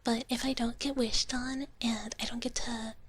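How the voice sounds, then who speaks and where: A woman streaming live ASMR in a soft, breathy whisper close to the mic, chatting casually and playfully in a gentle dragon-girl persona. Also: on the high side, level tone, moderate pace. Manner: playful, in character as a gentle wish dragon, often speaking of herself in third person. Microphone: close ASMR microphone. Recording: clearly audible background noise.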